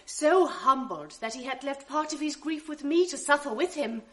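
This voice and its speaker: An actress playing a loving young noble wife in a 1980s radio drama, gentle and earnest, coaxing insistently. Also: female voice, high voice, normal speed.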